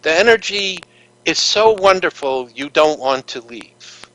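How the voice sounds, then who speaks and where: A man's voice: fairly high pitch, expressive, unhurried, fairly bright tone, dry recording, poor audio. A man being interviewed, telling long stories in a rambling, earnest way with many fillers and restarts. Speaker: interview guest, author. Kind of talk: casual interview storytelling.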